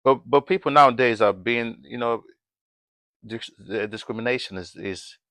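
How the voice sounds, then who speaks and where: A man in conversation as an interview guest, speaking haltingly with repeated words and frequent restarts. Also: mid-range voice, fairly bright tone, no room sound.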